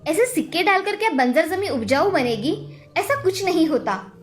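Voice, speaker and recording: A woman playing a skeptical farmer's wife in a reproachful, animated storybook style. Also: extremely high pitch, normal speed, soft background music. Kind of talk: dramatized Hindi folk tale dialogue.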